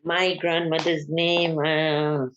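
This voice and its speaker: Older lady from uganda, real slow and halting, lots of uh's, kinda muffled dull audio. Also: sits low, level tone, a little hiss or hum. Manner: unhurried, hesitant, pauses often with "uh". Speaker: guest, family elder. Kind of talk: casual family interview.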